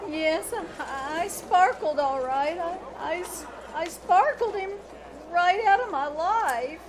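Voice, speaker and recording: A woman's voice: extremely high pitch, expressive, slow, heavily acted. A woman playing a shy, flustered single aunt in an old-time radio comedy, hesitant and stammering but earnest. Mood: wistful. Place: small theater stage, live performance. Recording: noisy live stage recording.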